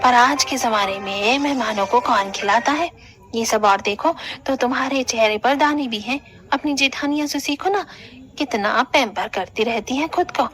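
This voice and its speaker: A woman's voice: a little high, moderate pace, a bit bright, faint music behind, natural voice. A woman playing a gossipy, critical middle-aged auntie in a family cartoon. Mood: condescending. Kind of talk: Hindi cartoon family drama dialogue.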